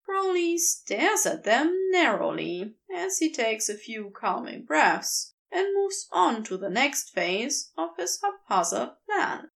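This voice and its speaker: Woman, audiobook-style narrator, very slow and deliberate, lively rising and falling pitch, fairly high voice, expressive storytelling. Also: vocal fry. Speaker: podfic reader.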